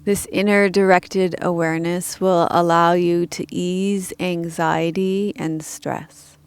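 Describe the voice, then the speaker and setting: Woman, guided meditation, gentle and measured, resonant clear tone, some vocal fry, soft background music. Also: fairly low pitch. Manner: calm, gentle, measured instruction with pauses. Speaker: yoga and meditation teacher.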